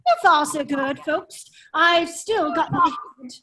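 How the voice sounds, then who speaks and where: A woman playing a witty, foppish English gentleman with a secret life, in an affected drawling manner in an amateur drama reading. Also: high-pitched, animated, slow pace, crowd noise. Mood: weary, guarded. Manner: affected, languid, drawling, exclaiming.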